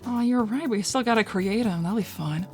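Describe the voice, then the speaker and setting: A woman's voice: fairly high pitch, fairly quick, a little mellow, lightly acted. A woman voicing a flirty, teasing, confident female bat in a cartoon fan dub. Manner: teasing, playful, sly. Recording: background music under voice.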